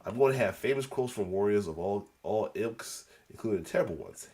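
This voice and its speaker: A man's voice: on the low side, animated, a little fast, mellow. A congested man with a cold, giving a casual, rambling news reaction as the host, with restarts and coughs. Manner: rambling, repeats "as well too", many restarts; occasional coughs.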